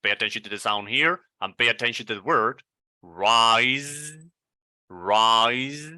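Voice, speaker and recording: A Venezuelan man with a Venezuelan Spanish accent coaches English pronunciation, saying each model word several times. His speech is very slow and deliberate, and his voice is strikingly bright. The recording is dry, with little room sound. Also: medium pitch, no echo. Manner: drills words slowly and repeats them, deliberate. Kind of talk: pronunciation lesson with slow repeated model words.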